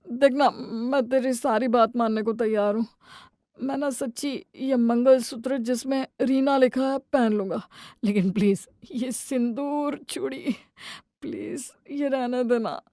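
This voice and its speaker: A woman's voice: a little high, measured pace, dark tone, no room sound, clean studio sound. A woman voicing a hapless, henpecked young husband with a meek, pleading manner in a domestic cartoon story.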